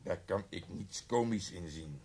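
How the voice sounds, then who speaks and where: An actor playing an irritable, sarcastic police officer in a radio drama. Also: male voice, low voice, a little slow, darkish tone, slightly rough, heavily acted. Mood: sarcastic, exasperated. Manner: sarcastic, grumbling. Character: irritable, exasperated gruff male police officer. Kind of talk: Dutch radio drama acting.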